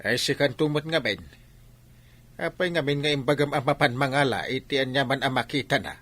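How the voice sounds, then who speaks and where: A man's voice: medium pitch, brisk, fairly bright tone, no room sound, natural voice. A man acting a mischievous, easily flustered village man in an Ilocano comedy radio drama, stammering and exclaiming with an Ilocano accent. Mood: bewildered.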